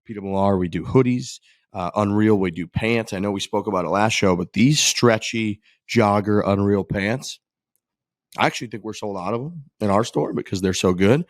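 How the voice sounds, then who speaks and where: A man with a fairly low voice hosts a golf podcast, recorded dry and close. He talks loosely and chattily, piling up repeats as he runs through an ad read. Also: normal speed, dry recording. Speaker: podcast host. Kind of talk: casual chat and ad read.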